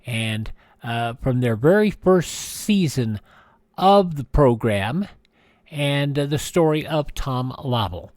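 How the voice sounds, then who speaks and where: A man's voice: mid-range voice, expressive, slow, darkish tone, no room sound, studio quality. A man hosting a radio program in a casual, chatty style, rambling a little and correcting himself as he goes. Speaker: radio show host. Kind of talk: casual radio host chat reading celebrity birthdays and introducing old radio shows.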